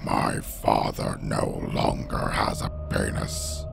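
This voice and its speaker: Extremely deep, gravelly gym leader. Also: male voice, level tone, takes it slowly, background noise, exaggerated voice. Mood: menacing. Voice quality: very gravelly, growling. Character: menacing, solemn young male gym leader, absurdly gravelly. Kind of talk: cartoon parody dub. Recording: loud music behind the voice. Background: loud music.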